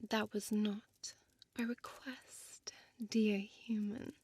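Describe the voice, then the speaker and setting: A woman playing a seductive, possessive female vampire in an intimate whispered audio roleplay, with slow, deliberate, teasing formal speech, close to the microphone. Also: medium pitch, little pitch movement, a little mellow, no room sound. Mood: coaxing, commanding. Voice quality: breathy whisper. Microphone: close dry studio microphone.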